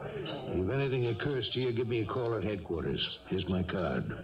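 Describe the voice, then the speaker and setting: A man's voice: lowish voice, very animated, normal speed, very noisy. A man playing a dry, tough, businesslike police lieutenant in a 1970s radio drama, terse and pointed. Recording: dull lo-fi radio broadcast, distant, music.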